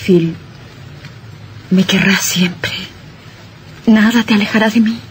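Radio melodrama: woman playing anxious young wife, mid-pitched, pleading, faint noise behind. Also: steady tone, slow, lightly acted. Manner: pleading, repetitive. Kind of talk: melodramatic radio drama acting.

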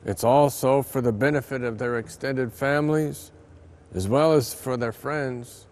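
Slow-speaking older American priest. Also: male voice, mid-range voice, fairly even intonation, a little mellow. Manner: measured, solemn, deliberate emphasis. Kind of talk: scripted reflection read aloud.

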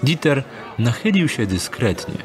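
Mid-pitched male narrator over music. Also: big pitch swings, unhurried, crowd noise. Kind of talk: scripted narration of a fantasy audio drama.

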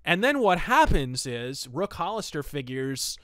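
A man hosting a podcast, giving an opinionated, conversational review in a casual, animated way. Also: fairly high pitch, a little slow, no room sound, clean studio sound. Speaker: podcast host reviewing pulp fiction.

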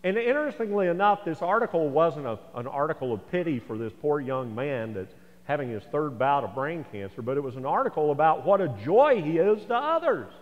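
An American pastor in his sixties delivers a folksy sermon in a large church. His voice sits a little high for a man and rises and falls in a very lively way. The sound rings with long hall reverberation. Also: brisk, hardly any pauses. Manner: folksy, rhetorical asides to the congregation. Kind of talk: sermon, conversational preaching. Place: large church sanctuary, indoors. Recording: echoey church hall, slightly dull sound.

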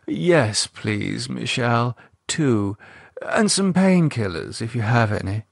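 A man's voice: mid-range voice, sing-song, takes it slowly, vocal fry, husky, no echo, studio quality, heavily acted. A man voicing a languid, refined upper-class woman in an audiobook. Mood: weary. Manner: drawling, world-weary. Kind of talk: audiobook character voice.

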